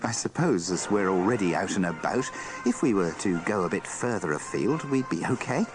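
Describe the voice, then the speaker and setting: A man's voice: deep voice, animated, moderate pace, music in the background. A man reading a scripted, wry compilation narration in a dry, tongue-in-cheek manner. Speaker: narrator of a radio bloopers compilation.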